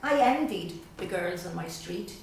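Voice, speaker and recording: A middle-aged Irish woman from Dublin reading her poems slowly and with pauses at a literary evening, chatting hesitantly between them. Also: on the low side, lively intonation, gravelly, a little hiss or hum. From Dublin, Ireland. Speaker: guest poet. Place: event room at a literary gathering. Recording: very echoey room, voice far off.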